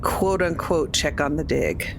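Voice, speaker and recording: Low, creaky-voiced woman chatting over noise. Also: measured pace. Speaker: tabletop game player on a podcast. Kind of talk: casual in-game chat.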